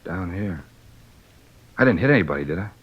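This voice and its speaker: Groggy low-voiced hungover salesman, old radio. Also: expressive, a little fast, slightly rough. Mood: uneasy. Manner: slangy, groggy, rueful. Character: hungover man, sheepish salesman and war veteran under police questioning. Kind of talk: 1950s radio crime drama acting. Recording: dull lo-fi old radio recording.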